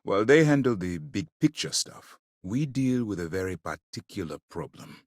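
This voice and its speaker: An actor playing a stern, disciplined male naval commander in a video game, speaking in a measured, formal, commanding way. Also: on the low side, big pitch swings, no room sound, clean studio sound. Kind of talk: dramatic video game dialogue.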